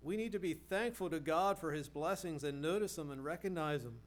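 Male preacher, middle-aged, earnest, rhetorical questions, restarts words, slightly high voice. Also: fairly quick. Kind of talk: sermon.

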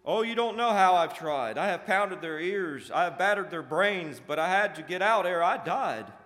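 A man's voice: mid-range voice, sing-song, a little slow, bright, forceful tone. A man voicing a sly, jealous, shriveled little imp in a dramatic recited tale. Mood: frustrated, pleading. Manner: sly, boastful. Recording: echoey hall with long reverb.

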